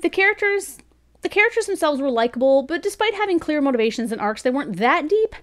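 A woman's voice: high voice, big pitch swings, quick pace. A woman in her thirties giving a chatty, casual book review from a room at home, laughing often between thoughts. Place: home room with bookshelves, indoors. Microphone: studio mic beside her on desk.

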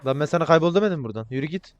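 A fast-talking man with a slightly high voice trolls other players in an online roleplay game. He swears heavily and needles people, then slips into exaggerated politeness. It is casual, crude gaming banter. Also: animated, lo-fi sound. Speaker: video creator playing an online roleplay game.

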